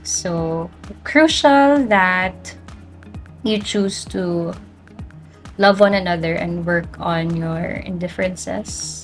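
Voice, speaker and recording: Low-pitched Filipino woman chatting. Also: takes it slowly, faint music behind. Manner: mixes English with Tagalog. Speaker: podcast co-host. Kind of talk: casual reflective talk about personal experience.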